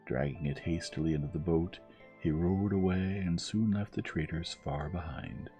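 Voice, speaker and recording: Male bedtime story reader, very low and warm, soft measured delivery. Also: lo-fi sound. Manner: soft, measured, soothing reading. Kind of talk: gentle scripted storybook narration. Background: music.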